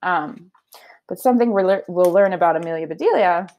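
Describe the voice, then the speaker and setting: A woman teacher giving a children's read-aloud lesson, explaining her thinking in an expressive, conversational way. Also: lowish voice, brisk, lo-fi sound. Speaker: elementary teacher.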